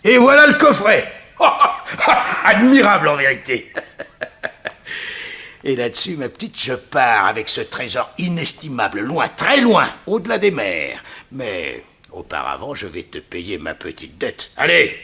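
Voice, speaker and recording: Casting: murderous radio-serial thief. Mock-polite, greedy, sharp-edged voice with husky grain, swinging widely. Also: male voice, medium pitch, very animated, takes it slowly, full character voice. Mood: triumphant. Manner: theatrical, mock-polite, chuckling menace. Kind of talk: 1960s French radio crime serial acting.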